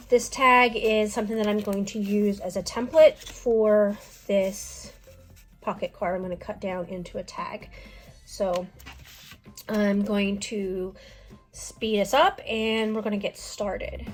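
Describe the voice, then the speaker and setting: A middle-aged woman with a stuffy head cold, talking through her scrapbook layout step by step in a relaxed voice-over. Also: medium pitch. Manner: relaxed, explains each step as she works. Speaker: scrapbooking crafter. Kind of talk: casual craft voice-over.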